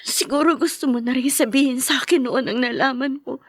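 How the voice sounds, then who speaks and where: A woman's voice: sits high, a little fast, dry recording. An actress playing a young woman grieving her mother, tearful and pleading. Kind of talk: radio-style drama acting.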